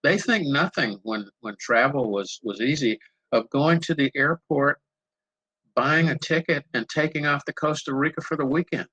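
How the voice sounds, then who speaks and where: Older male moderator on a video call: bright, dry sound, unhurried storytelling with restarts. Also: on the high side, breathy edge, no echo, rough recording. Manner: unhurried, anecdotal, repeats words as he searches. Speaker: panel moderator. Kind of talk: conversational panel answer.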